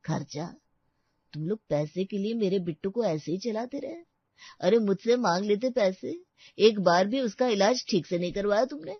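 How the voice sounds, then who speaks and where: A woman's voice: mid-range voice, expressive, normal speed, slightly rough, no echo, dull audio. A woman voicing a blunt, emotional, indignant male relative in a Hindi cartoon family story.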